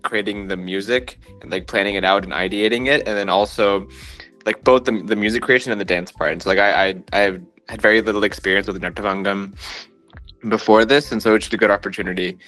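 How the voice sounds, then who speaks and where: A man's voice: on the low side, fairly bright tone, poor audio. A young man from Dallas, a student dancer, talking hesitantly with many restarts. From Dallas, Texas. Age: young adult. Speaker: dancer guest.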